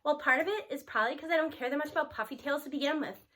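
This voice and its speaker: A woman's voice: high-pitched, racing, bright, forceful tone. An American woman reading a children's novel aloud, telling it expressively in the first person as a teenage narrator. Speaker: storybook reader.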